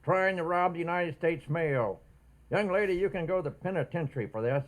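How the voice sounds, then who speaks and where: An actor playing a suspicious, stubborn mail clerk in a radio comedy, with a folksy, scolding manner. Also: male voice, a little high, expressive, lightly acted. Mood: triumphant, stern. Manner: folksy, scolding, drawn-out exclamations. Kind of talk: old-time radio comedy acting.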